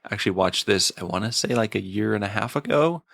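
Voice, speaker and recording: A man giving a casual episode review in a thoughtful, slightly halting manner, often repeating a word as he goes. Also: fairly low pitch, animated, creaky, a bit bright, no room sound, clean studio sound.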